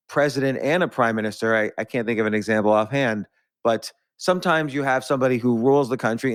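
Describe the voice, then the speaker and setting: Smooth-voiced man explaining casually. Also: mid-range voice. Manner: rambling, self-deprecating explainer. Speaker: podcast host.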